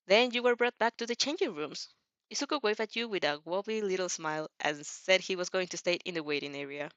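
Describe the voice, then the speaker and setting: A woman's voice: medium pitch, fairly bright tone, dry recording. Woman reading a story aloud, stumbling slightly. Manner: stumbles over words and rereads phrases. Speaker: amateur fan fiction reader. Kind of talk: storybook narration read aloud. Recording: dry, slightly dull lo-fi recording.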